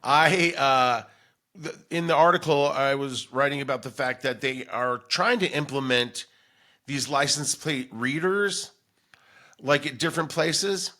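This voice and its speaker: A man's voice: mid-range voice, a little slow, pushed and bright. A middle-aged American man hosting a podcast, chatting casually in a rambling, conversational storytelling way. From Denver, United States. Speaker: podcast host. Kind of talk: casual chat.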